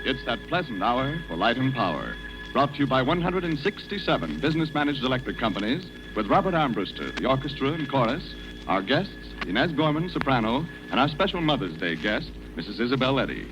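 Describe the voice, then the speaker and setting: A man's voice: mid-range voice, big pitch swings, a little fast. An American man announcing a scripted 1940s radio show. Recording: dull 1940s radio broadcast recording. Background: music.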